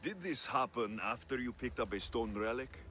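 A man's voice: medium pitch, animated, moderate pace, pushed and bright, slight background noise. A man playing a practical ancient Greek mercenary hero in a video game cutscene, with a firm, direct, reassuring manner. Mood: matter-of-fact. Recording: long reverb, music behind.

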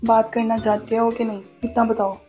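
A man's voice: very high voice, flat delivery, normal speed, darkish tone, faint music behind. A young man voicing a bossy older sister who coaxes and scolds insistently in a prank phone call. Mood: exasperated, insistent. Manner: insistent, repetitive, mildly scolding.

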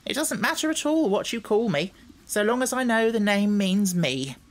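A woman voicing a brisk, self-assured talking hen in a storybook, with a matter-of-fact, argumentative manner. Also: mid-range voice, animated, voice pushed up, close to an everyday voice. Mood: chatty, contented. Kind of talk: storybook character voice.

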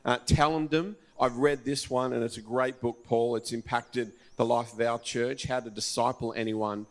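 A man's voice: mid-range voice, steady tone, normal speed. A middle-aged man, a church pastor informally introducing a guest speaker on stage with a handheld mic. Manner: informal, hesitant with fillers and restarts. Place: large dark church stage.

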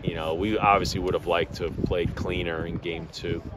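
A man in his fifties, a head baseball coach, answering postgame questions in a measured, plainspoken way with many fillers and restarts, outdoors at a ballpark at night. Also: sits low, little pitch movement. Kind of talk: postgame media interview. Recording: outdoor background noise.

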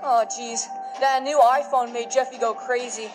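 A woman's voice: a little high, steady tone, moderate pace, close to an everyday voice. A performer voicing a nervous, stammering boy in an amateur puppet video, with music behind. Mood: panicked. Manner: stammering, flustered. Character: panicky nervous young boy.

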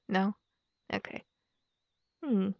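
A young woman playing a playful, excitable girlfriend in a roleplay audio, speaking softly and hesitantly as if musing aloud to her partner. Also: mid-range voice, extremely slow, no echo. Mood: excited, brainstorming. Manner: giggly, cutesy, soft and hesitant, musing aloud. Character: playful, excitable werewolf girlfriend shopping for Halloween costumes. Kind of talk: girlfriend roleplay ASMR, improvised-sounding monologue to a partner. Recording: dull lo-fi close dry recording.

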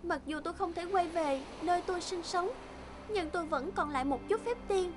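A woman's voice: very high voice, fairly quick, noisy background, voice pushed up. A woman voicing a sweet, anxious young fairy maiden in a cartoon, plaintive and exclaiming. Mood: soft, hopeful. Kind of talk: cartoon fairy-tale acting.

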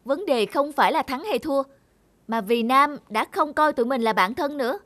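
A woman's voice: high voice, normal speed, a bit bright, dry recording. A woman voicing a proud, easily offended cartoon schoolboy who speaks bluntly and reproachfully. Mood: resentful. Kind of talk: cartoon dialogue.

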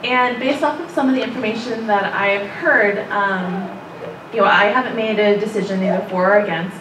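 A woman makes an informal comment at a public meeting, tentative and searching for her words. Her voice sits at a medium pitch. It is picked up from far off in an echoey room with plain background noise. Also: moderate pace. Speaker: member of the public giving comment. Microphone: distant camera microphone. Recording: distant, echoey, noisy room microphone.

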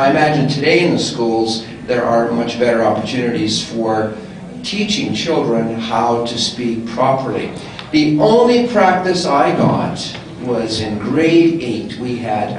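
A man's voice: medium pitch, noisy background. Rambling, self-deprecating man from Manitoba on a distant mic in a big echoing hall. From rural Manitoba, Canada. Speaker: panelist. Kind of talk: personal story at a panel. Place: large convention hall. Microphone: handheld mic.

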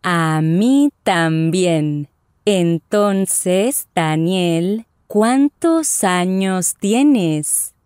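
A woman voicing Isabella, a friendly young traveler in a scripted lesson dialogue, speaking with clear careful enunciation. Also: on the low side, lively intonation, drawn-out words, a little mellow, no echo, studio quality. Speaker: voice actor in language lesson dialogue. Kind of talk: scripted slow and normal-speed lesson dialogue.

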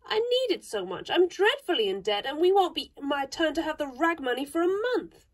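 A woman's voice: high voice, sing-song, normal speed, voice pushed up. A woman voicing a vain young girl who is fond of big words, speaking in an earnest, fussy, chattering way. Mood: pleading.

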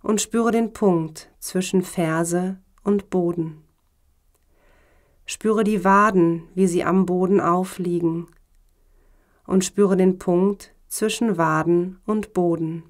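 Female meditation guide, slightly low voice, very slow delivery, calm and measured yoga instruction. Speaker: yoga teacher guiding relaxation.

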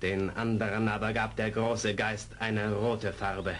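Scornful warrior villain, low voice, slow declamation, proud, radio drama. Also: male voice, little pitch movement, a bit bright, slight background noise. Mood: solemn, bitter. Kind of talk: 1970s German radio play acting.

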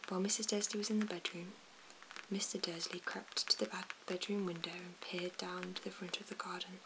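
A woman reads a storybook in a soft, slow whisper. Her delivery stays even and hushed. Noticeable hiss and small mouth clicks run through the recording. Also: fairly low pitch. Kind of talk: whispered ASMR storybook reading.

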